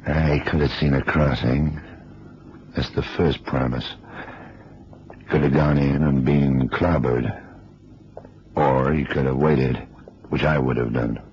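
Very deep, brooding veteran investigator. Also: male voice, exaggerated voice. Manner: terse, musing, clipped fragments. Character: shrewd, seasoned male investigator, brooding mentor. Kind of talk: 1970s radio drama monologue. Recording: muffled, hissy old radio recording.

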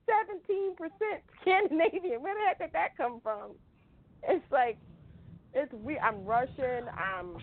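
Animated woman on a tinny phone line. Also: sits high, slight background noise. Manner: animated, exclamatory, repeats herself for emphasis. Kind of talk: casual chat.

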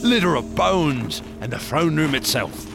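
An obsessed explorer in a radio horror drama. His voice swoops widely and crackles with a gravelly edge, boastful and excitable, moving from hushed awe to feverish eagerness over prominent dramatic music. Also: on the high side, measured pace. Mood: awed, haunted. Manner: theatrical, intense, swinging from hushed awe to eager bursts.

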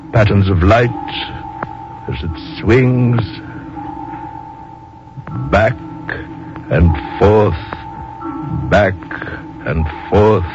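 An actor playing a bluff, slyly hypnotic military general in a 1950s radio drama, musing in clipped, repeated phrases. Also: male voice, lowish voice, exaggerated voice. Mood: slow, mesmerizing. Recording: dull, noisy old radio recording.

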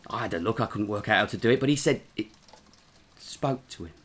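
A man's voice: on the low side, fairly bright tone, husky. An actor playing a nervous drifter who stammers and halts as he recounts something horrifying. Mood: nervous, shaken. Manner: halting, stammering, frightened.

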